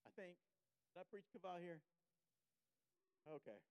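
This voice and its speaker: A man preaching a sermon as a guest, slow and emphatic, sniffling a little. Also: high voice, lively intonation, darkish tone, clear, resonant tone.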